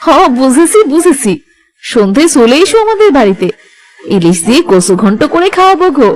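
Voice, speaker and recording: Cartoon village daughter-in-law, female actor: young, dutiful and chatty, high voice with very animated melody. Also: normal speed, soft background music. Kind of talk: Bengali cartoon dialogue.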